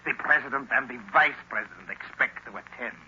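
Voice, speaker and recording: An actor playing a dutiful, wary chief assistant to a villain, formal and deferential, in 1930s radio drama style. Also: male voice, mid-range voice, brisk, pushed and bright, a little hiss or hum. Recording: muffled 1930s radio recording.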